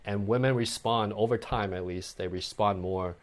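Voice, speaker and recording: A man with a fairly low voice explains dating advice in an easy, conversational flow. He keeps checking in with the listener and slips into short acted-out exchanges to show his points. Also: fairly even intonation, normal speed. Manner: acts out sample lines, frequent okay and right. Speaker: dating coach guest.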